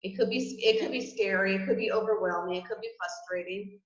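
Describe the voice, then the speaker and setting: A woman's voice: medium pitch, quick pace. A woman co-hosting a casual chat, giving earnest, reflective advice to clients and listeners. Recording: echoey, slightly muffled room.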